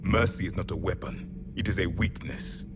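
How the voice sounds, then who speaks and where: A man voicing an ancient alien warrior in a video game, cold, proud and grave, speaking in a measured, disdainful way. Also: low voice, over loud music, close to an everyday voice. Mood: grim, severe. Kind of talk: video game character dialogue.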